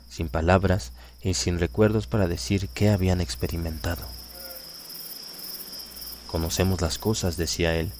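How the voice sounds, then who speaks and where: A man with a Latin American Spanish accent reading a literary horror story aloud in a measured, grave manner. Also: low voice, a little mellow, slight background noise. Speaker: audiobook narrator. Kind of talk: scripted literary narration.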